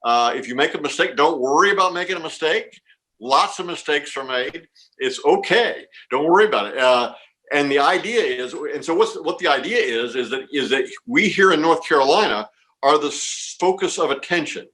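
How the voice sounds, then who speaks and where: A man with a somewhat high-pitched voice speaks as an organizer in an informal interview. He explains things step by step in a reassuring way, often doubling back on words and phrases. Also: expressive, normal speed, a bit bright. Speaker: amateur radio contest organizer being interviewed.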